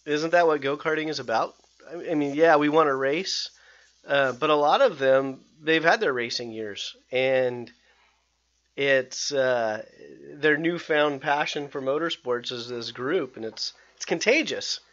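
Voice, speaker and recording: A man hosting a podcast, chatting casually in an excitable, rambling way with many restarts. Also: a little high, measured pace. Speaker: podcast host. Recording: slightly dull lo-fi sound.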